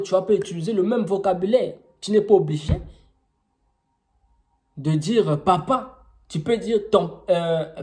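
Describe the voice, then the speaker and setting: A young Congolese man speaks French with a Congolese accent, his voice fairly high yet soft and mellow. He explains things patiently, pausing on fillers and posing questions to his listeners, over faint background music. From Congo-Brazzaville. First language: Kituba. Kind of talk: informal teaching testimony.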